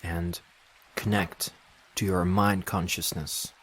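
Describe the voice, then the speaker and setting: A man leading a guided meditation as narrator, speaking in deliberate short phrases with long pauses between them. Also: low voice, flat delivery, a bit bright.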